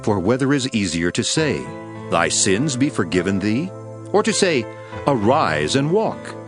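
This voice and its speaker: A man acting a calm, authoritative holy teacher in a dramatized scripture reading, speaking in a measured, solemn and commanding way. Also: mid-range voice. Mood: challenging. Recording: music behind the voice.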